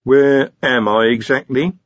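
A man's voice: mid-range voice, fairly even intonation, a little slow, no room sound, lo-fi sound, pitched above the performer's own voice, lightly acted. A man voicing a bitter, wary, put-upon male wizard in an audiobook, sardonic and suspicious. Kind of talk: audiobook character voice.

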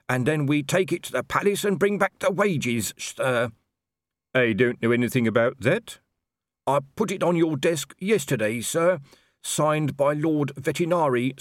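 A man's voice: a little high, expressive, measured pace, no echo, clean studio sound, pitched above the performer's own voice. A man voicing a polite but persistent young constable who keeps saying sir. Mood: dutiful. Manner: dogged, formally polite, ending lines with "sir". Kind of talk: audiobook character voice.

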